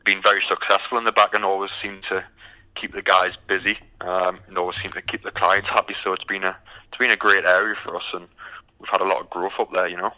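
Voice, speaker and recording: A man's voice: sits low, level tone, fast, sharp tone, low-quality recording. A British man from the UK, a recruitment firm founder answering interview questions with many ums and restarts.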